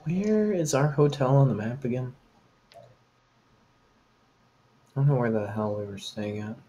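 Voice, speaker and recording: A man's voice: medium pitch, a little slow, mellow, a little hiss or hum, poor audio. A man playing a tabletop game, chatting casually with joking, crude banter. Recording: music behind the voice. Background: background music.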